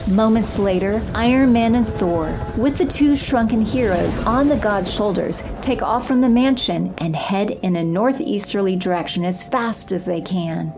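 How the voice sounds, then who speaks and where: A woman's voice: mid-range voice, normal speed, few pauses, over music. A woman reading scripted third-person narration for an audio drama.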